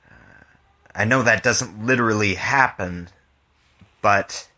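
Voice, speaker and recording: Slow, bright-voiced man sharing poetry. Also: lowish voice. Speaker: podcast host and poet. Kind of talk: reading own poems with casual commentary.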